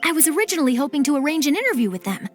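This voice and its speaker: A bubbly, inquisitive young reporter. Her voice is very high and bounces expressively through each line. Light game music plays under her. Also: big pitch swings, racing. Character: upbeat curious young woman reporter. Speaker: journalist. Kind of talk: video game cutscene acting.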